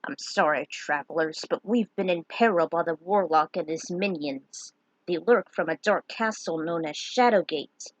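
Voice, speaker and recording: Woman doing a wary castle guard, ye olde fantasy talk, husky, dry recording. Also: lowish voice, moderate pace, dry recording, natural voice, low-quality recording. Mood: apologetic, grave. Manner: archaic fantasy speech. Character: wary, old-fashioned castle guard in navy armor.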